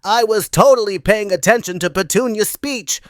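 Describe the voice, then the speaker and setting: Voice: medium pitch, normal speed, no room sound, studio quality, close to an everyday voice. A performer voicing a sarcastic, melodramatic and self-mocking puppet host in a comedy show. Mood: sarcastic amusement.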